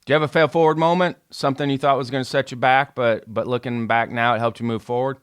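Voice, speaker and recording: A man's voice: medium pitch, steady tone, fast talker, fairly bright tone, smooth. An American man hosting a podcast, asking questions and musing in a conversational interview style. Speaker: podcast host interviewing a guest.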